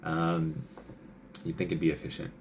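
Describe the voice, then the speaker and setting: A man's voice: a bass voice, level tone, normal speed, slight background noise, low-quality recording. A male doctor giving casual advice, chatty, with many fillers, who often acts out what patients and doctors might say.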